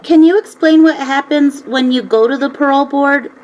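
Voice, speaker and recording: A woman interviewer asking measured, deliberate questions. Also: sits high, a little mellow, muffled recording, a little hiss or hum. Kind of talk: advocacy interview.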